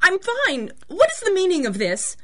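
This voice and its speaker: A defiant, distraught young heiress in a radio melodrama. Her voice is extremely high and swings widely in pitch. She pleads with great indignation. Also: huge pitch swings, normal speed, pushed and bright, full character voice. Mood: flustered, pleading. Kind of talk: melodramatic radio drama acting.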